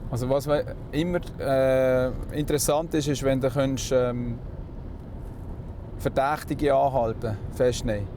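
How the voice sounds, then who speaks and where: A man's voice: mid-range voice, steady tone, a little slow, mellow. A man with a Swiss German accent, a motorway police officer explaining his job casually in a moving car. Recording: clear vehicle road noise.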